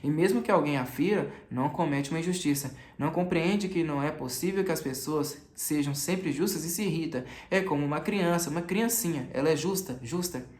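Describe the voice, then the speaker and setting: A man's voice: a little high, a little fast, hardly any pauses, lightly acted. A man voicing a timid, anxious, compassionate young woman in an audiobook, hesitant and repeating words. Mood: pleading, distressed. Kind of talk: audiobook character reading. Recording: echoey room.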